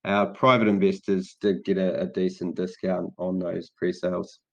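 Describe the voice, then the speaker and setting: Low, flat-voiced Kiwi man. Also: normal speed, vocal fry. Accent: New Zealand. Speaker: host from the project team. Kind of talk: casual Q&A hosting. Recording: dull lo-fi call audio.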